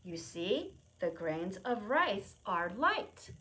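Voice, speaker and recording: A woman's voice: mid-range voice, sing-song, slow pace, sharp tone. A woman leading a storytime lesson for small children, explaining in simple repeated phrases and prompting them to join in. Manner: refers to self in third person. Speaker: preschool storytime presenter at a public library. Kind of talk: children's lesson, demonstrating to young viewers at home.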